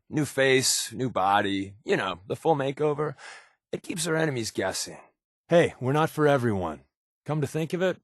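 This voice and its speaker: An actor playing a wisecracking undercover rebel spy in a video game, talking in a wry, sardonic, slangy way. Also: male voice, mid-range voice, no room sound, studio quality. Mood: persuasive, breezy. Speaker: companion character in a post-apocalyptic video game. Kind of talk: conversational video game voice acting.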